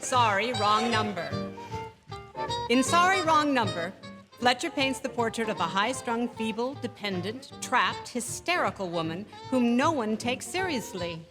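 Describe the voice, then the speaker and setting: A woman's voice: a little high, animated, unhurried. A woman presenting a radio drama program in scripted narration. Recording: hall reverb, music underneath.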